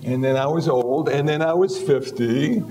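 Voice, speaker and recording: A man's voice: medium pitch, moderate pace, warm tone, a little hiss or hum. A man in his seventies giving a humorous Buddhist dharma talk, easygoing and wry. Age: 70s. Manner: easygoing, wry, acts out little voices. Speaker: Buddhist teacher.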